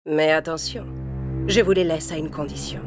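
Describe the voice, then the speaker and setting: A woman's voice: deep voice, normal speed, a little mellow, faint music behind. A woman voicing a haughty, menacing witch who bargains, in an imperious manner, for a children's storybook. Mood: cold bargaining.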